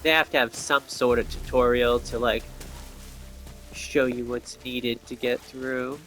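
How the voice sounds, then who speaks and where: A man's voice: mid-range voice, level tone, a little slow, fairly bright tone, faint music behind. A man giving casual gameplay commentary, explaining things and thinking aloud as he plays.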